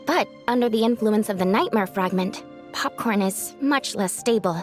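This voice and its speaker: A woman's voice: medium pitch, normal speed, soft background music, close to an everyday voice. A woman playing a polite, earnest young yokai woman in a game, speaking courteously and a little hesitantly as she explains. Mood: earnest, explanatory. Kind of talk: anime game voice acting.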